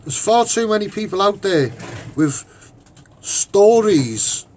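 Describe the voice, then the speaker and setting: A man with a Scouse accent and a husky voice giving an off-the-cuff, opinionated monologue, emphatic and rambling, often repeating his phrases. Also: high voice, expressive, slow pace, darkish tone, slight background noise. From Liverpool, England. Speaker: online broadcaster and activist.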